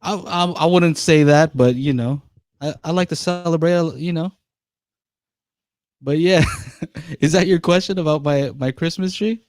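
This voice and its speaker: A man's voice: a little high, a little slow, darkish tone, no room sound, studio quality. A man hosting a live call-in podcast, chatting casually and laughing easily with callers. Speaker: podcast host.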